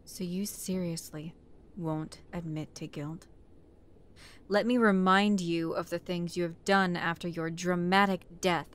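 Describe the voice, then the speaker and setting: Woman acting as a tough lowish-voiced chief detective. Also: steady tone, measured pace, lightly acted. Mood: angry, accusing. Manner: brusque, commanding, swearing interrogator. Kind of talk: scripted audio roleplay acting. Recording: clear background noise.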